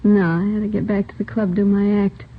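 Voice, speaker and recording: A woman's voice: mid-range voice, level tone, fairly quick, lightly acted. An American woman playing a flirty, slangy nightclub dancer in her late twenties in a 1950s radio drama, flippant and casual. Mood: casual, fond. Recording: thin, phone-like old radio recording.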